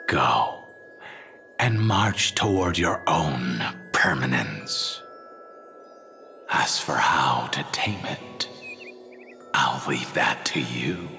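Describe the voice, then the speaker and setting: A man voicing a resigned, solemn dragon spirit of the past in a video game, speaking in a slow, wistful, theatrical way. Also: very deep voice, pushed and bright, exaggerated voice. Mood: solemn farewell. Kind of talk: dramatic video game dialogue. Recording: very echoey, long reverb, music behind.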